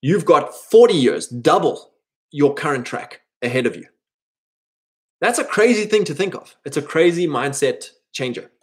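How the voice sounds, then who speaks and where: A man's voice: fairly high pitch, lively intonation, moderate pace. A thirty-year-old man giving an informal, rambling motivational monologue, slipping into imagined quotes as he advises other men. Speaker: speaker giving advice to men.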